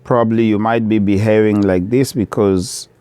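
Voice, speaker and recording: A middle-aged man from the Democratic Republic of Congo, speaking straight to camera from his shop in an earnest, measured appeal that repeats key phrases. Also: on the low side, fairly even intonation, warm tone, clear, resonant tone, no echo. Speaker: vlogger, business owner.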